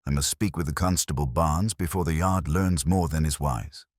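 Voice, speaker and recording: A man playing a grave, calm, commanding Victorian detective, speaking in a measured, quietly incisive way in an audio mystery. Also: extremely low pitch, no echo, clean studio sound. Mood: calm authority.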